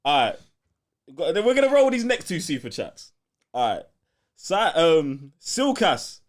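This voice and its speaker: A man's voice: on the high side, very animated, measured pace. A man with a London accent co-hosting a casual live podcast, bantering in slang and reading out viewer messages. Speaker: podcast co-host reading out super chats.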